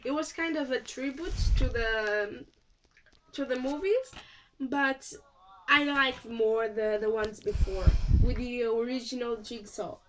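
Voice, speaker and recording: Bright-voiced Portuguese woman vlogging. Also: on the high side, measured pace, a little hiss or hum, poor audio. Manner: chatty, hesitant, many fillers and restarts. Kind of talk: casual movie review vlog.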